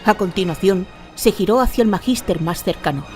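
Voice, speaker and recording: A woman from narrates a fantasy radio drama. Her mid-pitched delivery is scripted and dramatic, with music playing clearly underneath. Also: brisk, darkish tone.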